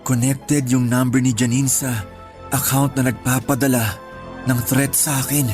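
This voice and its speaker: Actor as earnest male teacher crushing on a coworker, medium pitch, radio drama with faint music. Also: little pitch movement, measured pace, a little mellow, close to an everyday voice. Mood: serious. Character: sincere male schoolteacher, smitten with his colleague. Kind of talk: Tagalog radio drama acting.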